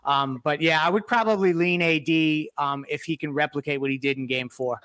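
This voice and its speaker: A man's voice: fairly high pitch, brisk, fairly bright tone, clear, resonant tone. A man giving casual sports analysis as a panelist on a talk show.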